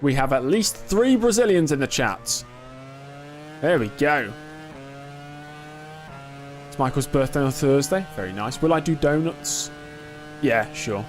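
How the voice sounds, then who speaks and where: A man's voice: a little high, animated, unhurried, pauses often, faint music behind. Chatty young man streaming a race. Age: 20s. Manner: chatty, reads and answers chat, self-talk under pressure. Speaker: live-streaming gamer. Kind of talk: casual live-stream commentary while racing.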